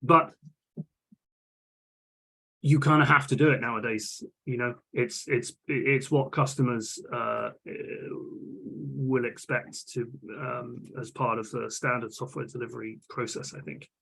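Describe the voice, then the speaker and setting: A man's voice: mid-range voice, steady tone, unhurried, vocal fry, a bit bright, slight background noise, low-quality recording. A man talking casually in an online technical meeting, hesitant, with many restarts and fillers. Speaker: vulnerability management working group lead. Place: online video call.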